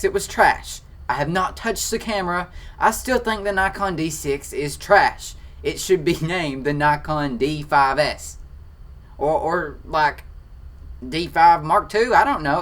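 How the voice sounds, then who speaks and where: Teen photography vlogger, Kentucky country accent, chatty and excitable, talking from a home office. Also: male voice, on the high side, measured pace. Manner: excitable, chatty, defensive then joking. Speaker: photography channel host. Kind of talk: casual Q&A reading comments.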